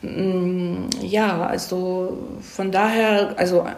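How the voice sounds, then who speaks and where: A woman's voice: on the low side, little pitch movement, slow, a little mellow, a little hiss or hum. A woman screenwriter being interviewed, explaining casually and thoughtfully, often checking in with short tag questions. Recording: echoey room.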